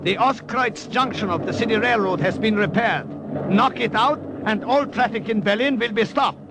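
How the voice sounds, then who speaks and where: A man's voice: high voice, normal speed. A man playing a wounded, self-sacrificing professor in a vintage radio drama, speaking with formal, urgent authority. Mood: urgent, commanding. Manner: urgent, formal, declamatory commands. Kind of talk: 1950s radio drama acting. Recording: noisy old radio recording, battle sound effects.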